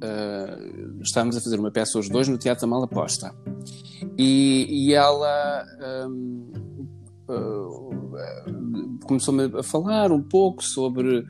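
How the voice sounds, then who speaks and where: Lisbon man, podcast guest, mid pitch, hesitant and rambling, over guitar music. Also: animated, slow pace, darkish tone. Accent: Lisbon Portuguese. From Portugal. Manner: hesitant, many fillers and restarts, jokey asides. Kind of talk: casual podcast chat.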